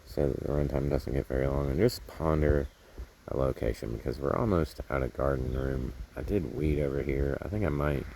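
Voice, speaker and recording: A man's voice: rumbling low, moderate pace, vocal fry, few pauses, mellow, a little hiss or hum. A man casually narrating hands-on garden work outdoors, rambling and thinking aloud as he goes, recorded on a handheld camera. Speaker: gardening vlogger.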